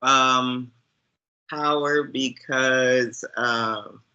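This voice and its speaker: A man with a bright, forward voice at a middling pitch speaks as a guest in a casual chat. He goes slowly and haltingly, reflecting aloud in winding thoughts. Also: fairly even intonation, smooth, lo-fi sound. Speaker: guest, online movie reviewer.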